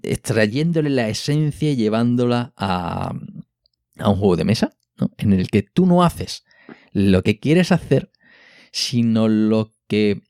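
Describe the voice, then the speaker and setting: A man from a podcast panel with a Spanish accent from Spain and a fairly low voice. He explains things casually and with enthusiasm, tacking little questions onto his sentences. The sound is dry and close. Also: dark tone, clean tone, no echo. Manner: enthusiastic, explanatory, often ends with tag questions. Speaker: podcast co-host. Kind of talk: casual board game discussion.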